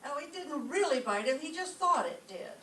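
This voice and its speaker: Woman acting a wry, high-voiced wisecracking schoolteacher. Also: sing-song, moderate pace, pushed and bright, exaggerated voice. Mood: deadpan, helpful. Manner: dry, quippy. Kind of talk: live old-time radio comedy reenactment. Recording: echoey stage, distant mic, faint noise.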